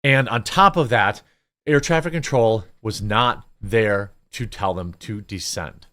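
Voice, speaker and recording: A man's voice: on the low side, a little slow, bright, forceful tone. A man hosting a news commentary show, speaking off the cuff in a blunt, opinionated, sometimes sarcastic way and reading bits of articles aloud. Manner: blunt, opinionated, rhetorical questions.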